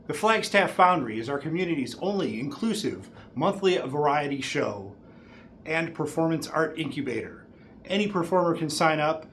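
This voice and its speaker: A middle-aged man delivers showman patter as a juggling host. His voice sits a little high and his delivery is theatrical. The small bare room echoes strongly, with some noise behind him. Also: fairly bright tone. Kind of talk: showman stage patter to an audience.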